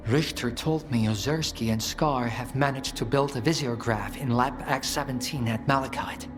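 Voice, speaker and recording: An actor playing an earnest, measured male scientist in a video game cutscene, deliberate and sometimes dropping into hushed, breathy phrases. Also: medium pitch, over music, natural voice. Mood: searching.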